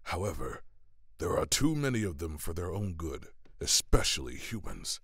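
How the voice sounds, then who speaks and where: An actor voicing a proud, meddling god and brother, theatrical, persuasive and easily indignant, in an audio drama. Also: male voice, deep voice, big pitch swings, normal speed, fairly bright tone, husky, no echo, studio quality, full character voice. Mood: scheming, persuasive.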